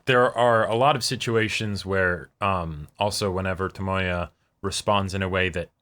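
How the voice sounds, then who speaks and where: Bright-toned man, easygoing co-host. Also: low-pitched, steady tone, moderate pace. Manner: agreeable, hesitant, frequent backchannels. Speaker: co-host reacting to anime. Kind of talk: casual discussion.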